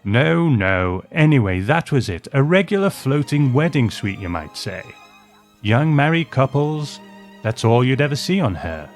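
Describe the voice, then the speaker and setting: A man's voice: medium pitch, big pitch swings, measured pace, faint music behind, voice pushed up. A man voicing a hearty, opinionated old man who rambles fondly with old-fashioned exclamations, in a storybook reading. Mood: fond, amused. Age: older. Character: hearty opinionated old man reminiscing about his beloved ship.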